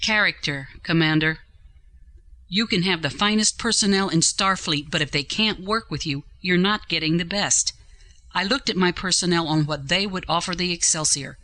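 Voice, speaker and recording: Starship captain played by a woman, middle years: bright, crisp tone, composed authority, sci-fi audio drama. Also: on the low side. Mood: earnest conviction. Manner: measured, articulate, authoritative. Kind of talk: science-fiction audio drama acting.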